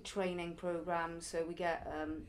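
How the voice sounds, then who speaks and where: A woman's voice: lowish voice, flat delivery, measured pace, slight background noise. A woman in her early forties, a circus performer and youth circus teacher, answering interview questions in a chatty, reflective way. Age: early 40s. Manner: chatty, reflective, laughs now and then.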